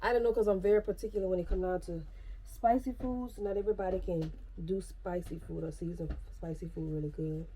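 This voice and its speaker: A woman's voice: on the low side, fairly even intonation, fairly quick, mellow, slightly rough. A young woman with a stuffy nose from a cold, chatting casually and rambling to her viewers in a vlog at home. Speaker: vlogger.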